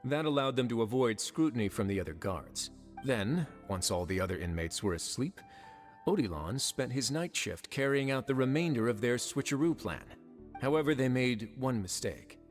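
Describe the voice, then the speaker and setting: A man's voice: medium pitch, lively intonation, faint music behind, lightly acted. Man playing a composed, shrewd duke. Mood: calm explaining. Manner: measured, explanatory. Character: composed, shrewd male duke who runs a prison. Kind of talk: scripted game dialogue.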